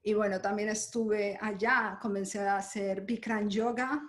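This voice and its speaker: A woman from Colombia, a podcast guest, telling her life story in a lively way with frequent laughter. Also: medium pitch, measured pace. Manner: lively, laughs often while telling stories. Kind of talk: conversational interview storytelling. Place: lives in Germany.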